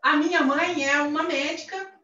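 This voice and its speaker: A woman's voice: high-pitched, fairly even intonation, normal speed, sharp tone. A woman with a Brazilian accent voicing a signed talk, hesitant, repeating words and adding tag questions. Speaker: sign language interpreter. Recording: distant echoey lo-fi livestream audio.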